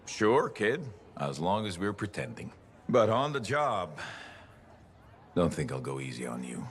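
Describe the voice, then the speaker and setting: A man's voice: low-pitched, sing-song, measured pace. A man acting as a guarded, blunt, wry veteran in his mid-forties in a video game. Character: gruff, guarded forty-five-year-old male veteran, wry.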